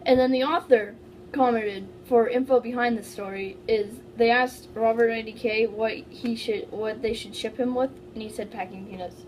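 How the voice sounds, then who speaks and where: A woman's voice: fairly high pitch, steady tone, normal speed, a little mellow. A woman doing a playful dramatic amateur reading, mixed with casual chat. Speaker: fan fiction reader. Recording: faintly noisy home recording.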